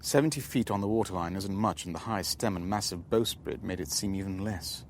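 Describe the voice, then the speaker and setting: Radio thriller lead: middle-aged businessman, lowish voice, careful and guarded, some background noise. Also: brisk. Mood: reflective narration. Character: guarded middle-aged businessman, narrating his own spy story. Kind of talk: radio drama dialogue and first-person narration.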